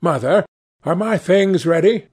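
A man voicing a rough, blunt young lad in a storybook, good-natured but stern and grumbling. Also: sits high, animated, unhurried, voice pushed up, full character voice. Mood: gruff.

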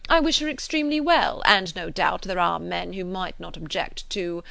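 A woman voicing an ardent, self-important gentleman suitor in a classic novel, effusive, insinuating and pompous. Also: on the low side, normal speed, vocal fry, no echo, full character voice. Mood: affronted, haughty. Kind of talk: dramatised classic novel reading.

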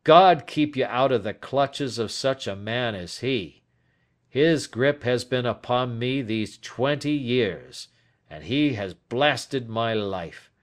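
Man doing a weary, slow-speaking dying old man. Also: medium pitch, lively intonation, voice pushed up. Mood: bitter. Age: older. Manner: slow, heavy, emphatic, drawn-out. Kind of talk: audiobook character acting.

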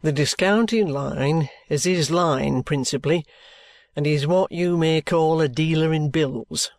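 A reader voicing a sly, genial middle-aged male detective with a cheerful, coaxing, unhurried manner. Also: mid-range voice, darkish tone, no echo, lightly acted. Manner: cheerful, coaxing, leisurely drawl. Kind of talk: audiobook character reading.

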